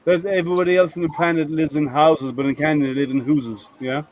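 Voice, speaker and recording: A man's voice: a little high, fairly even intonation, fast, rough recording. A man ribbing his friends with dry humor in a casual video-call chat. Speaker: hangout participant.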